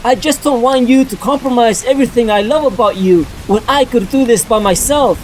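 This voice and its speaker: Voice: mid-range voice, brisk, a little hiss or hum, close to an everyday voice, rough recording. A performer voicing a blunt, candid young woman who is a reformed cat burglar, in a fan dub of a motion comic. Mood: sincere.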